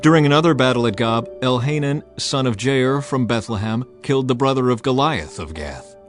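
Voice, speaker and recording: A man reads scripture as a scripted, dramatized narration, in a solemn, measured and emphatic manner. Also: medium pitch. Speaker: audio Bible narrator. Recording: music bed under narration. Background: background music.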